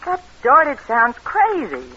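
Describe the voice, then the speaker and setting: A schemer's wary girlfriend in old radio thriller style. Her voice is high and swings widely in pitch. The recording is dull and muffled. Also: huge pitch swings, a little slow, pushed and bright, exaggerated voice. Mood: doubtful, anxious. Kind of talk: 1940s-50s radio thriller acting.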